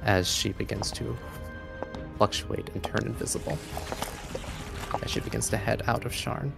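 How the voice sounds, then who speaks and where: A man narrating a tabletop game as game master in a dramatic, deliberate style, pausing mid-sentence for suspense. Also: on the low side, gravelly, over music.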